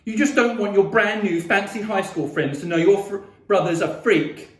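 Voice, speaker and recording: A man voicing an upset young boy in an audiobook story, blunt, accusing and often shouting at his family. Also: very high voice, sing-song, a little slow, echoey room, recorded from a distance, pitched above the performer's own voice, exaggerated voice, poor audio. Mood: furious, shouting.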